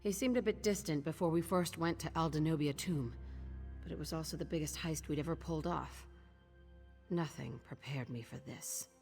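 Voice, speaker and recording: Low-voiced, bitter woman thief in reverb. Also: moderate pace, creaky, a little mellow. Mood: bitter regret. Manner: terse, grave, resolute. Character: bitter, grieving woman thief, betrayed by her mentor. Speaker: game character. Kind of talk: dramatic game dialogue. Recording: long hall reverb, music underneath.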